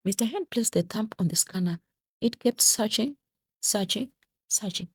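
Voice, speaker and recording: A woman with a husky, creaky voice delivering dramatic scripted narration as the narrator of an audio drama. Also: mid-range voice, lively intonation, normal speed, a little hiss or hum.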